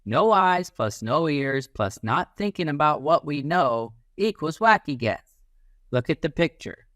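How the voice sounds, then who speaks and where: Male teacher-narrator for kids' educational picture book: lively melody, instructive delivery, close dry sound. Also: fairly high pitch, measured pace, no echo. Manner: animated, instructive, acts out little sounds and quotes. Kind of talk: expressive children's read-aloud.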